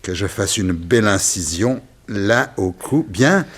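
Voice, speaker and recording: A creepy gloating crime boss, husky, big swings in pitch, old radio drama. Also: male voice, lively intonation, slow, fairly bright tone, full character voice. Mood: cruel, menacing. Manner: menacing, gloating, theatrical. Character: sinister male crime boss who drinks blood. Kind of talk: 1960s French radio serial acting.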